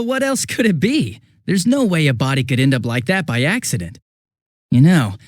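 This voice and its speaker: An actor playing a bumbling, chatty young police detective in a video game dub, with an American accent and a sheepish, stammering, casual manner. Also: male voice, on the high side, a little mellow, dry recording, clean studio sound. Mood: musing, casual.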